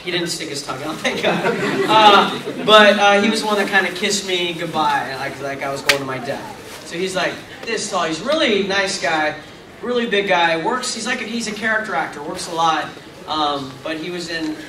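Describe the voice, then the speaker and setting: A man's voice: sits high, moderate pace. A middle-aged man answering fans' questions at a convention panel, chatty and rambling, with self-mocking asides. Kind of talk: casual Q&A with fans. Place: large convention hall. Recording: echoey hall taped from far off, noisy.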